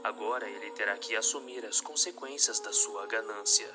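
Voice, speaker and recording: A man reading a scripted narration of a dark story. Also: on the low side, moderate pace.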